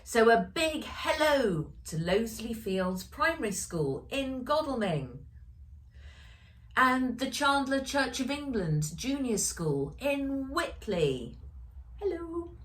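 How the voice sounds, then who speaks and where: A woman's voice: sits high, big pitch swings, slow. A woman narrating her own children's story expressively, speaking directly to young listeners. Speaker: author reading own story.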